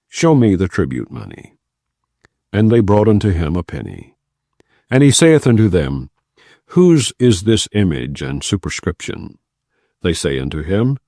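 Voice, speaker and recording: A man giving a solemn, measured, non-dramatized reading of scripture from the Bible. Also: sits low, darkish tone, dry recording, studio quality. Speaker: Bible reader.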